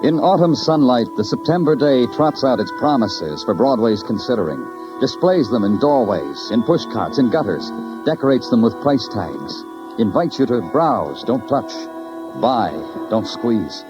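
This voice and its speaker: An actor playing a moody, world-weary New York detective, narrating a hard-boiled radio drama in clipped, poetic, brooding phrases. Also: male voice, medium pitch, very animated, a little slow. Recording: dull old radio recording, loud music. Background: music.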